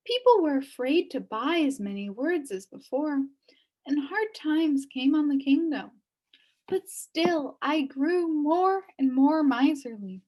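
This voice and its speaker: A woman's voice: high-pitched, animated, slow pace, a little mellow, low-quality recording. A woman voicing a sad, wistful elderly woman recounting her downfall in a storybook. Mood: regretful. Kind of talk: storybook character reading.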